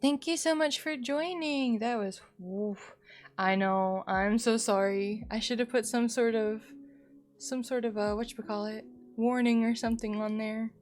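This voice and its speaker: A woman with a fairly high voice hosts a casual livestream with faint music behind her. Her talk is playful and expressive, wandering from one thought to the next, with a slightly sleepy feel. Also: a little slow. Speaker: live streamer, host. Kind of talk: casual chatty livestream sign-off.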